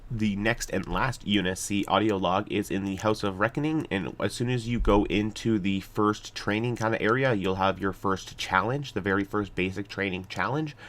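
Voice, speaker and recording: A man narrating a casual video game walkthrough, explaining step by step in a halting, hesitant way. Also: on the low side, a bit bright.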